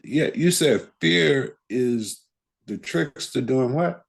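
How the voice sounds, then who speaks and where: A man's voice: mid-range voice, lively intonation, takes it slowly, vocal fry, husky. A man taking part as a student in a meditation class, asking questions and offering comments conversationally.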